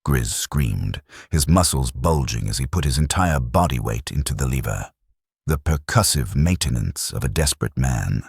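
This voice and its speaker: A man narrating a science fiction audiobook in a dramatic, measured delivery. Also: rumbling low, expressive, vocal fry, breathy edge, no room sound, clean studio sound.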